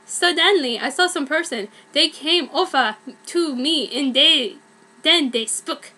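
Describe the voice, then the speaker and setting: A young woman reads amateur fan fiction aloud for comedy. Her voice is high and bright, and her delivery is theatrical and mock-earnest. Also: measured pace.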